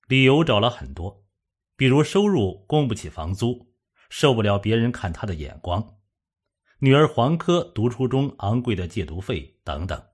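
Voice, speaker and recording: Husky low male audiobook narrator. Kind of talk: scripted suspense novel narration.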